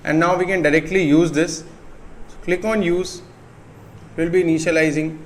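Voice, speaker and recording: A man with an Indian accent presenting a step-by-step software tutorial. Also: on the high side, steady tone, normal speed, a little hiss or hum.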